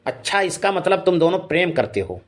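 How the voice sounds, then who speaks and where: A man plays an officious cartoon village policeman with a high-pitched voice. He questions and lectures people, though in a fairly reasonable way. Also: big pitch swings, a little fast. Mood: lecturing. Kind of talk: cartoon comedy dialogue.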